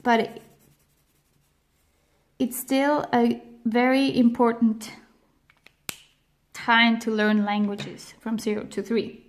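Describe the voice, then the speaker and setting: A woman with a Swiss German accent, a native speaker of Spanish and Swiss German, explaining a language lesson in a careful, emphatic way with frequent pauses. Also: on the high side, flat delivery. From grew up on the other side of the globe. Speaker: language educator.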